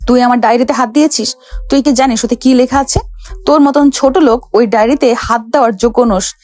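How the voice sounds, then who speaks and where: A woman's voice: on the high side, fairly quick, darkish tone, natural voice. A woman playing a haughty, hot-tempered rich young woman in an audio love story, imperious and scolding. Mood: furious, haughty.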